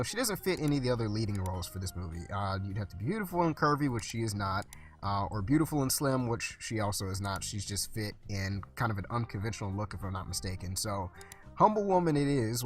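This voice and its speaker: A young man with a stuffy voice casually commenting while playing a management game, thinking aloud with lots of fillers. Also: mid-range voice. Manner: chatty, thinks aloud with frequent uh and restarts. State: stuffy, recovering from illness. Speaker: let's play host.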